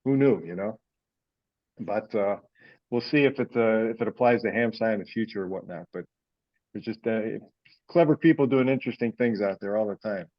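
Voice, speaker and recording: A man's voice: fairly low pitch, brisk. A man hosting an online meeting in a casual, informal, rambling way, heard over a conference call. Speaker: meeting host. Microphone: teleconference call. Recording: dull lo-fi conference call audio.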